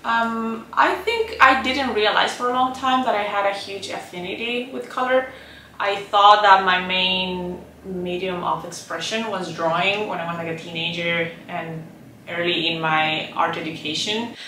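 Woman, 30s, visual artist, reflective, filler-heavy, bright tone, roomy studio echo. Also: fairly low pitch, steady tone, measured pace, far from the mic, slight background noise. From Chile. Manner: thoughtful, soft-spoken, many "like" and "um" fillers. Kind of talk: documentary interview, reflective talk. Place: roomy painting studio, indoors. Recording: echoey studio room, off-mic.

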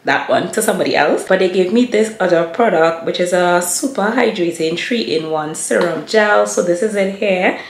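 A woman's voice: fairly low pitch, normal speed, hardly any pauses. A woman in her thirties chatting casually to the camera as a lifestyle vlogger, talking straight to her viewers. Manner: chatty, addresses viewers as y'all. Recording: echoey room with faint hiss.

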